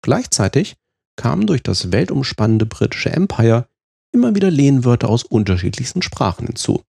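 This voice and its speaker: Gravelly, lively German man explaining. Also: mid-range voice, no echo, clean studio sound. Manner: measured, explaining step by step. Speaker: presenter. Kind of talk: scripted explanatory narration.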